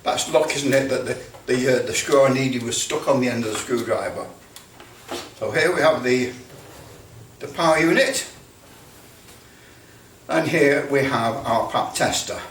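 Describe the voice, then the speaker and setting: A British man giving a casual hands-on demonstration, halting as he thinks aloud. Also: mid-range voice, fairly bright tone. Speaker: presenter demonstrating electrical testing. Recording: roomy, distant mic with background noise.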